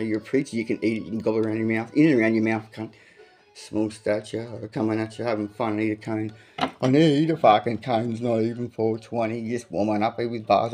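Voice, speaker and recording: A man's voice: fairly low pitch, a little mellow. A man freestyling profane, aggressive rap bars, repeating lines as he goes. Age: adult, has a son. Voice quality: says he has a big voice. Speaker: amateur freestyle rapper.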